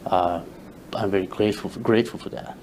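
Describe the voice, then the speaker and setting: A man whose first language is Farsi, a guest telling his story in a casual interview, halting with many fillers and restarts. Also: low-pitched, fairly even intonation, a little mellow, slight background noise, poor audio. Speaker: interview guest, social media creator.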